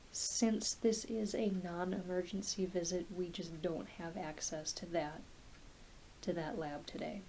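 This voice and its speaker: A woman playing a gentle, reassuring, slightly scattered doctor in an ASMR medical roleplay, speaking softly and haltingly, often trailing into a near-whisper. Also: fairly low pitch, close to an everyday voice, low-quality recording. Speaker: ASMR performer. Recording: close home recording with clear hiss.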